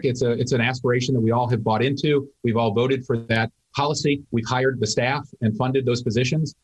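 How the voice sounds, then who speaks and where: A man's voice: medium pitch, darkish tone, husky, low-quality recording. A man who is a county council member, answering interview questions hesitantly with many fillers.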